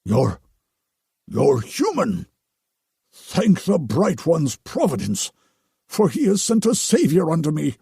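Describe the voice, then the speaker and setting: A man's voice: wildly swooping pitch, slow pace, vocal fry, pauses often, dark tone, breathy edge, no echo, studio quality, exaggerated voice. A man voicing a nervous, self-important male priest who talks in a fawning, pompous way. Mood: relieved. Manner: fawning and pompous, rising to shrill insistence.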